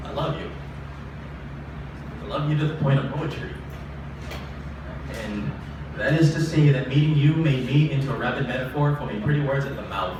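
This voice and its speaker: A young man performing spoken-word poetry live at a stage microphone, earnest and rhythmic, with casual asides. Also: medium pitch. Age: young adult. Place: small live open-mic venue stage. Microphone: stage microphone, captured from a distance. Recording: very echoey live room, distant, noisy.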